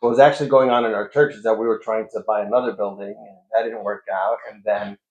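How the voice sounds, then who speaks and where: A man's voice: fairly low pitch, fairly even intonation, fast, darkish tone. A man speaking as a pastor and interview guest, answering in an informal, reflective, conversational way. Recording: echoey room, dull muffled sound.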